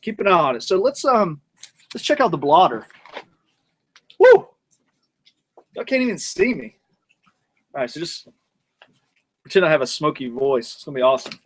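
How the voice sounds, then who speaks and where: Man, slightly high voice, loose and jokey, talks while cooking outside at the grill. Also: very animated, moderate pace, pauses often. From North Georgia, USA. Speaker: cooking show host. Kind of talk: casual cooking vlog. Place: outdoors at a grill in the mountains.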